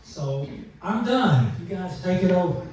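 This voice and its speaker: A man's voice: high-pitched, expressive, unhurried, a little mellow. A man introducing speakers at a society meeting, informal and hesitant with many restarts. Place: meeting room with audience. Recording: echoey room, far from mic, faint background noise.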